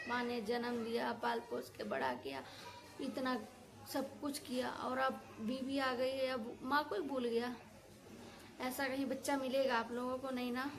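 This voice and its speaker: High-pitched woman in an indignant rant. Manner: indignant, scolding, repetitive colloquial venting. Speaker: reaction video creator. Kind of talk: casual opinionated rant to viewers.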